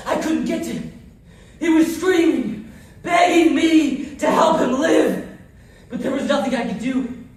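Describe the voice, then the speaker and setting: A man performing a dramatized first-person war monologue as an anguished soldier, with intense, theatrical delivery. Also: very high voice. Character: anguished male soldier recounting losing his comrades in battle. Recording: echoey room, distant mic, faint noise.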